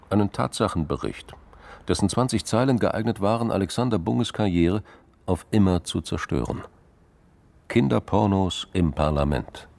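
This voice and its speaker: A man with a gravelly voice narrating a scripted crime drama. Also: low voice, darkish tone.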